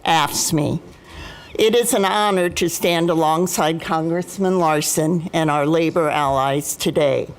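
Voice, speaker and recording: An American woman in her seventies giving prepared personal testimony as a speaker, in a formal, deliberate and emphatic manner. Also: lowish voice. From United States, Midwest. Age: 70s. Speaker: union retiree chapter president, guest speaker.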